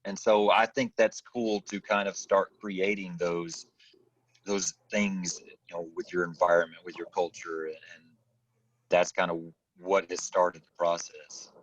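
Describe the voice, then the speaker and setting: Deep-voiced man on distant, dull audio. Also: little pitch movement, unhurried. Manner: rambling, hesitant, searching for words. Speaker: podcast host. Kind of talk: casual podcast interview.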